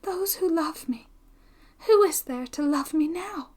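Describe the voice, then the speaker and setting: A woman voicing an anxious young woman in love, with a breathy, emotional, pleading delivery, in an audiobook reading. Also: very high-pitched, lively intonation, a little slow, a little mellow, voice pushed up. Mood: forlorn.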